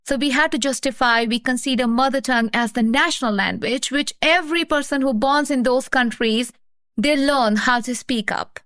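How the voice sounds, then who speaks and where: A woman's voice: high-pitched, moderate pace, fairly bright tone, no echo, studio quality. A woman from Pakistan, a researcher, earnestly explaining her work in a conversation and often repeating her phrases. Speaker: researcher, guest. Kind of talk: academic conversation.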